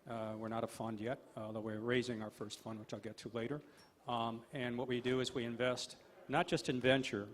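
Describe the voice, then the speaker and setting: A man speaking on a panel about investing, explaining in a businesslike way. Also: mid-range voice. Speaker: panelist, angel investor group leader. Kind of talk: panel discussion.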